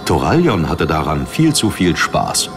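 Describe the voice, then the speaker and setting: A man's voice: rumbling low, huge pitch swings, unhurried. A man reading scripted dramatic narration as an audiobook narrator. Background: music under the narration.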